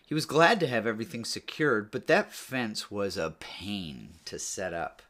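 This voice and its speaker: Male audiobook-style narrator, fairly low pitch, measured storytelling delivery with falling phrase endings. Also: expressive, a bit bright. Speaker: author or reader narrating a book read-aloud.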